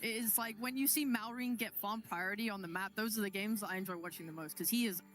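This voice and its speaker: A woman's voice: medium pitch, fast talker, fairly bright tone. A woman giving casual, conversational analysis on an esports panel.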